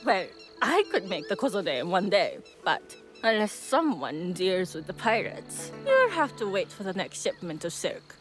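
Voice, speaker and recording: An actress playing a proud, practical kimono seller with a matter-of-fact sales manner in a video game. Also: female voice, medium pitch, sing-song, a little slow, hardly any pauses, soft background music. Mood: proud, blunt. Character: proud, practical female kimono seller, blunt then obliging.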